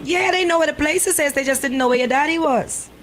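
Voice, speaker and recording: A woman's voice: sits high, brisk. A woman with a Bahamian accent hosting a radio show, chatting casually in a playful, teasing way. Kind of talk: casual banter and phone-in chat.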